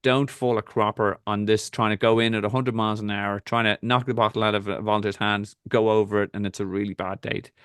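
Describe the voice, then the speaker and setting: A man's voice: lowish voice, steady tone, fairly bright tone, clear, resonant tone, no room sound, clean studio sound. A man with an Irish accent, a triathlon coach hosting a casual talk and giving direct, practical advice. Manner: direct, instructive, rapid asides.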